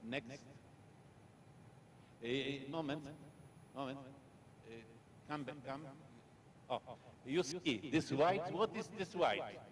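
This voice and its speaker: A man from Poland with a Polish accent, giving a guest lecture over slides in short, halting, repetitive phrases. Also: medium pitch, slight background noise. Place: lecture hall.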